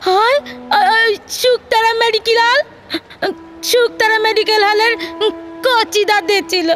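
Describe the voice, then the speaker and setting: A woman's voice: very high voice, fairly even intonation, measured pace, fairly bright tone, faint music behind. A woman playing a young, naive, affectionate village maidservant in an audio drama, plaintive and earnest, with a rural West Bengal accent. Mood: tearful confessing. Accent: rural West Bengal dialect.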